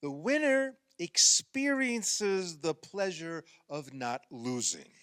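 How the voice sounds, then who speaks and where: A man's voice: fairly high pitch, big pitch swings, slow pace, a bit bright, clean tone, no echo, studio quality, exaggerated voice. An actor in a radio play playing an exasperated man who coaxes and explains insistently, often stammering. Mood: patient explaining. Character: exasperated man coaxing a woman through a playground basketball game.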